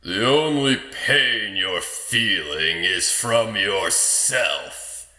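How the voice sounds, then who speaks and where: A man playing a malicious, taunting lord in an audio drama, with a theatrical, sly and menacing delivery and drawn-out phrasing. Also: fairly low pitch, lively intonation, bright, forceful tone, reverberant, heavily acted. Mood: cold, accusing. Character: malicious, taunting lord haunting a woman's dreams.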